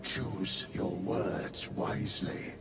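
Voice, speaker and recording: An actor playing a stern male chaplain who speaks gravely and warns in a game cutscene. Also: deep voice, very slow, echoey room, over music, full character voice. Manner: grave, warning. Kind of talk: video game cutscene acting.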